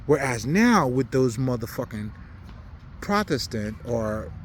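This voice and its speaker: A man's voice: medium pitch, very animated, takes it slowly, a little hiss or hum. A man from Haiti, not a native English speaker, giving an unscripted, preaching monologue in blunt, profane slang with emphatic repetition. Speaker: spiritual commentator.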